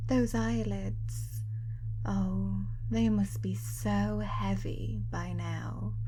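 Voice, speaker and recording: A young woman playing a teasing, controlling hypnotist in an ASMR roleplay, speaking softly and breathily, often close to a whisper. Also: mid-range voice, background noise. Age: young adult. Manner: soft, breathy, often near-whispered, drawn-out delivery.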